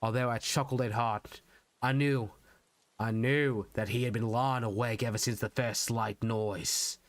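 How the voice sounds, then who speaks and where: A man's voice: medium pitch, fairly even intonation, dry recording, close to an everyday voice. Man acting theatrical madman confessing, close and dry. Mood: brooding, gloating. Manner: theatrical, deliberate, emphatic repetitions and pauses. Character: unhinged murderer narrator, proud, insisting he is sane. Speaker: VTuber practicing voice acting. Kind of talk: dramatic Gothic horror monologue reading.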